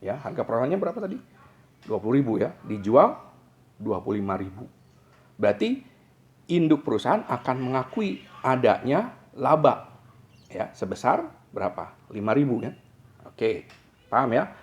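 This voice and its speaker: An Indonesian man speaks as a lecturer in a mid-pitched voice. His intonation swings widely as he explains step by step. He teaches in a didactic way, posing questions and answering them himself. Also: very animated, moderate pace, pauses often, dull audio. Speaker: accounting lecturer.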